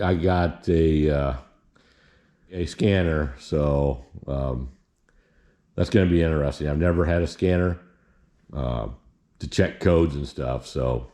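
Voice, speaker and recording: Man in his sixties, warm dark tone, folksy garage chat, restarts often. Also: very deep voice. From Indiana, US. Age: 60s. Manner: rambling, folksy, restarts a lot. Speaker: garage vlogger. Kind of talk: casual how-to chat.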